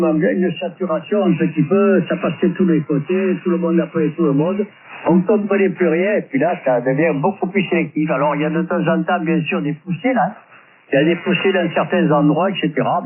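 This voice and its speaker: High-pitched man rambling over tinny ham radio. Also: fairly quick. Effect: radio transmission. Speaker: amateur radio operator. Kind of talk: casual radio chat. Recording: tinny narrow-band radio link, distant, faint static and clicks.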